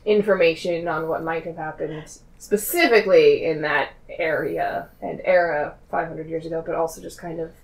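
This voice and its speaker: A woman's voice: deep voice, steady tone, moderate pace. A woman chatting casually and jokingly as a player in a tabletop role-playing game. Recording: echoey room.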